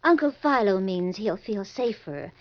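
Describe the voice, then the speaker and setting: An actress playing a young, sweetly sly accomplice, coaxing and mock-innocent, in an old-time radio crime drama. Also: female voice, medium pitch, animated, unhurried. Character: sweetly sly young niece of a con man. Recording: muffled vintage radio recording.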